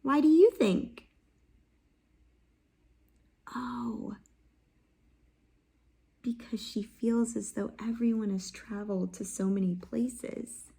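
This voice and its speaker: A woman reads a picture book aloud to young listeners in a slow, unhurried way. Her voice sits a little on the high side, and she stops often to ask gentle questions, sometimes dropping to a soft whisper close to the mic. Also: creaky, slightly rough. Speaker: children's read-aloud host. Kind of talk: expressive picture-book read-aloud with teaching pauses.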